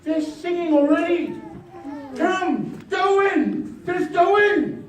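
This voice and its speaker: High-voiced pastor in an echoey hall. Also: male voice, takes it slowly. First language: Samoan. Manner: rousing, swings from soft asides to shouted exhortation. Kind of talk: revival sermon with bilingual asides. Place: church hall. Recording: echoey hall, distant mic, background noise.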